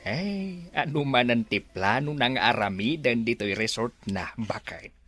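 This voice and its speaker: An actor in a radio drama playing a deferential, hesitant older male helper, eager to please in a halting singsong. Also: mid-range voice, expressive, a bit bright, no echo, soft background music. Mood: defensive. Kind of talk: Ilocano radio drama acting.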